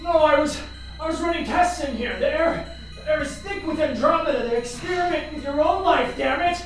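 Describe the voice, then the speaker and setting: Woman acting echoey, high-voiced trapped scientist. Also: fairly quick, close to an everyday voice. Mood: panicked. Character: frightened, bitter scientist trapped in a sealed lab. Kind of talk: amateur radio play acting. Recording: echoey, distant, music underneath.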